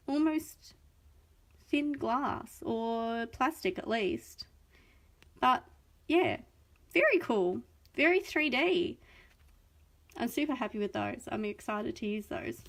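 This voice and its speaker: A young adult Australian woman hosting a chatty live craft unboxing, gushing and enthusiastic, a little sniffly from a cold. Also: on the high side. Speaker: craft video host.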